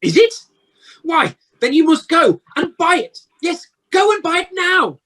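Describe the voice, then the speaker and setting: An actor playing an emotional, repentant old male miser in an amateur radio play, with theatrical, halting exclamations. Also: very high voice, very animated, fairly bright tone, heavily acted. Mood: excited, delighted. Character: repentant old male miser, desperate then giddily joyful. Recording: home video-call audio.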